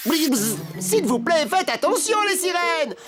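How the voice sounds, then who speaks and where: Une femme qui joue un petit robot inventeur de dessin animé, poli et tatillon, qui ponctue ses phrases de bourdonnements. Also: female voice, very high-pitched, big pitch swings, normal speed, fairly bright tone, full character voice. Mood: fussy, cautioning. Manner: fussy, punctuated with robotic buzzing babble. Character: fussy, polite little robot inventor with buzzing catchphrase. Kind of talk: cartoon dub acting. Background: music.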